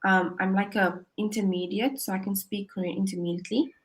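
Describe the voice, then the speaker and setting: A woman's voice: medium pitch, fairly even intonation, a little fast. A woman tutoring English over a video call, upbeat and encouraging, often laughing as she explains. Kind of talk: casual conversation lesson.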